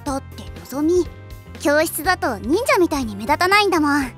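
A woman voicing a cheerful, easygoing high school girl in an anime, with a playful, breezy manner. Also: very animated, fairly quick, faint music behind. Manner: playful, breezy, exclaims brightly. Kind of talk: Japanese anime voice acting.

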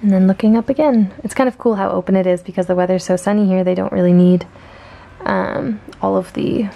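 A woman's voice: mid-range voice, fairly even intonation, a little fast, creaky, muffled recording. A young woman from Canada, a student, chatting casually with ums and small laughs while walking through her campus and dorm with a handheld camera. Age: young adult. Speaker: student vlogger. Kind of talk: casual walkthrough narration. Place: outdoors on campus, then indoors in a dorm room.

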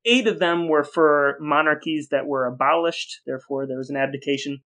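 A man with a slightly high voice hosts a casual podcast. He tells stories with wry humor and a playfully grand turn of phrase, chatting like a comic storyteller. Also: fairly quick, pushed and bright. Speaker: podcast co-host. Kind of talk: casual comedic storytelling chat.

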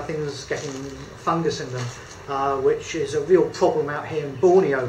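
A British man in his fifties talking through a hands-on camera tutorial, his voice a touch high for a man. He rambles in a friendly way with frequent ums and uhs. The camera mic sits a few feet off in an echoey room with a faint hum of ambience behind him. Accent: British English. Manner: chatty, hesitant with many fillers, good-humoured. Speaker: photography tutor. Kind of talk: casual demonstration tutorial. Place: indoor room at a table. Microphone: camera mic a few feet away.

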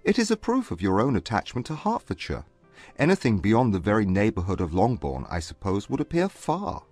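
A man acts out a proud, reserved gentleman in an audiobook drama. His voice is low and formal, with lively intonation. The sound is dry and close, with faint music. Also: no room sound. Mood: polite, probing. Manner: formal, measured. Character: Mister Darcy, proud reserved English gentleman.

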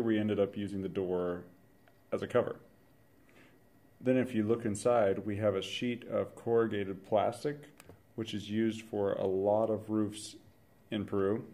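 A young male engineering student explains each construction step in a calm, instructional way. His voice sits a little low and sounds mellow. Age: 20s. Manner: steady, instructional. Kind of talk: project explanation and demonstration.

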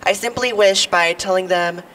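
A young woman with a low voice, a little sick, performing a stand-up comedy monologue with playful, teasing asides to the crowd. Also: moderate pace, a bit bright. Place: outdoors on building steps at a podium. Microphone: podium mic. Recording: distant outdoor mic, faint noise.

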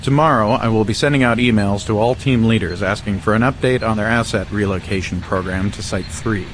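A man's voice: fairly low pitch, a little fast, slight background noise, close to an everyday voice. An actor playing a formal, businesslike male director in a bureaucratic game audio log.

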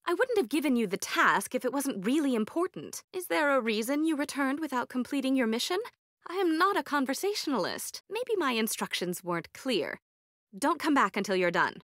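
A woman's voice: sits high, a bit bright, no echo, clean studio sound. An actress playing a haughty, eccentric, jaded woman scientist in a video game, socially awkward, with a curt, formal, condescending manner. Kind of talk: video game character voice lines.

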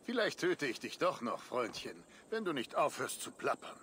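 A sardonic, lively-toned assassin. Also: male voice, mid-range voice, normal speed, slight background noise. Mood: dry, mocking. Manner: dry, sardonic. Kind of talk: dramatic video game dialogue.

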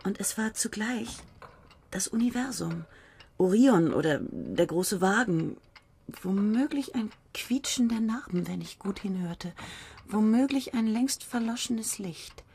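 An actress in a radio play voicing a dreamy woman who muses on time and angels, often dropping to a hushed whisper. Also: mid-range voice, a little slow, vocal fry, a little hiss or hum. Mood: musing. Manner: whispery, musing, shifts between hushed and excited.

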